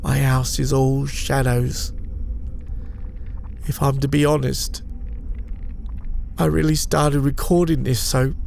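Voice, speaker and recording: A man reading a first-person horror story as a shaken, guilt-ridden survivor haltingly confessing what haunts him. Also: medium pitch, gravelly, a little mellow, slight background noise. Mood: fearful, obsessed.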